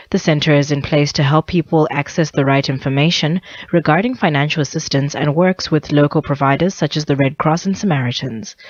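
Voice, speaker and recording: A woman's voice: sits low, dry recording. A woman newsreader delivers a scripted bulletin. The sound is very dry and close, with a slightly dull, lo-fi broadcast tone. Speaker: news reader. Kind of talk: scripted radio news bulletin. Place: radio studio.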